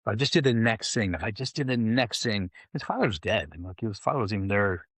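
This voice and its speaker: A man's voice: lowish voice, expressive, fast talker, fairly bright tone, no echo. A man coaching clients, talking in a loose, rambling way with many fillers. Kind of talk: casual conversation.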